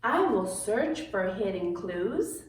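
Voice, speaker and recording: A woman voicing an imaginative, eager little girl in an expressive, drawn-out picture book reading for children. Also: mid-range voice. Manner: expressive, drawn-out storybook delivery. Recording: very echoey room, distant mic.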